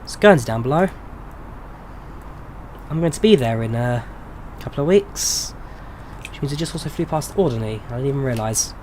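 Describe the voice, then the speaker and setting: A man's voice: mid-range voice, moderate pace, long pauses, slight background noise. A man in his twenties with an English accent, chatting casually with viewers on a live stream and answering their questions. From England. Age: 20s. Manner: relaxed, chatty, answers chat questions with frequent ums. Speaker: flight sim streamer.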